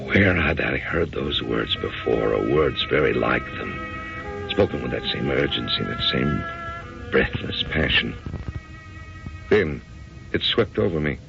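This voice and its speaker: An older, heartbroken husband of radio drama, with an extremely low, creaky voice. He is halting, brooding and anguished, as if confiding his troubles. The old recording is dull and hissy. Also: animated, over music, heavily acted. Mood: sorrowful, haunted. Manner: hesitant, brooding, halting delivery. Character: older grieving husband, Curtis Bisbee, anguished and apologetic. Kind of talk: old-time radio drama acting and first-person narration.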